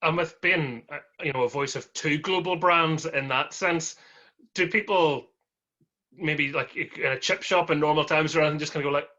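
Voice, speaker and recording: Male podcast host, friendly and chuckling, bright edge on a muffled lo-fi track. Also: fairly high pitch, moderate pace. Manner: friendly, chuckling, rambling questions. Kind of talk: casual interview chat. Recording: dull lo-fi audio.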